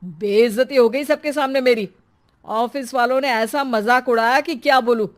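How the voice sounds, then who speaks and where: A man's voice: extremely high pitch, normal speed, fairly bright tone. A man playing a bullying middle-aged husband and father in a dramatised story, scolding with contempt. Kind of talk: dramatised Hindi moral story.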